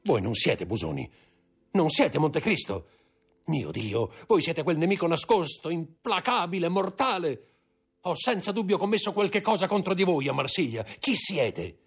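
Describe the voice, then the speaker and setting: A man's voice: expressive, unhurried, creaky, few pauses, slightly rough, full character voice. A man doing a frantic, shrieking prosecutor. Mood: terrified. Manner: shrill desperate cries, pitched far above his narration.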